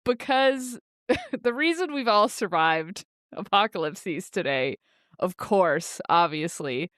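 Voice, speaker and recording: A woman co-hosting a book podcast in casual chat, playful and wry, quick to laugh. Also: medium pitch, expressive, fairly bright tone, no echo, studio quality. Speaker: podcast co-host.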